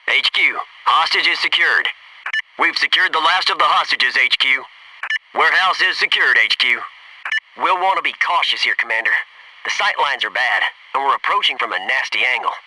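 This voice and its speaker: An actor playing a gung-ho, wisecracking Navy SEAL soldier in a military video game, giving clipped tactical callouts. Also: male voice, on the high side, sharp tone. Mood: wary, low. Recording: noisy radio-style game audio, slightly distant.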